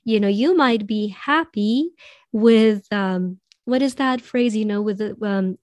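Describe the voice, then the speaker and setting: A woman with a raspy voice speaks as a coach in a relaxed conversation. She explains things thoughtfully, often slipping in "you know." The sound is dry and close, with no room around her. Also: mid-range voice, a little slow, no echo. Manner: reflective, explains with many "you know" asides. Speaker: possibility coach. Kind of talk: podcast conversation on soulmates.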